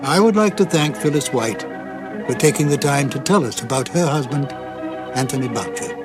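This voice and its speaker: A man reading scripted closing thanks as a presenter, in a measured manner. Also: mid-range voice, animated, over loud music.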